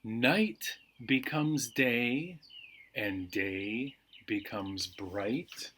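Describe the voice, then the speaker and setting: A man with a medium-pitched voice hosts a bedtime storytime for children. He speaks slowly, with deliberate, unhurried phrasing, as a storyteller does when reading aloud. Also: fairly bright tone, slight background noise. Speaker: library storytime host.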